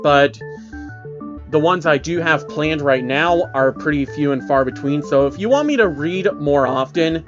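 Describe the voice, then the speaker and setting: Male narrator for amateur horror-fiction readings, slightly high voice, music underneath. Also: muffled recording. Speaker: narrator, channel host reading fan stories. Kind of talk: dramatic reading of a first-person creepypasta.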